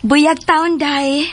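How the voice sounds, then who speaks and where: A young married woman in a Philippine radio drama. Her very high, Cebuano-accented voice is chatty, friendly and affectionate. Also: a bit bright, exaggerated voice. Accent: Cebuano Filipino.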